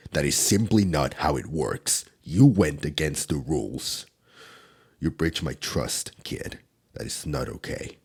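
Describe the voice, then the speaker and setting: A man doing a gruff, gravelly demon enforcer. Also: a little mellow, heavily acted. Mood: scolding. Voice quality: deep gravelly husky voice. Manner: scolding, measured, low-key delivery. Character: stern male demon enforcer, gruff but protective. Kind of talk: ASMR audio roleplay.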